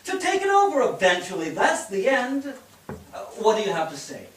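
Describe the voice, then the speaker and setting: Eager immigrant patriarch, played by a man, high voice with big swings, distant and roomy stage recording. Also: wildly swooping pitch, normal speed, fairly bright tone, exaggerated voice. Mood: earnest. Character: proud, eager Irish immigrant husband and father. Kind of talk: staged play reading. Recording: echoey stage, distant mic, background hiss.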